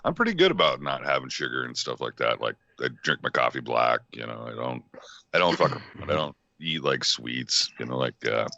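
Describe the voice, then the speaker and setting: Groggy male co-host, very deep gravelly voice, lively swoops, bright edge. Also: a little fast, dry recording, dull audio. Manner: groggy, rambling. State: just woken up. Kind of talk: casual chat.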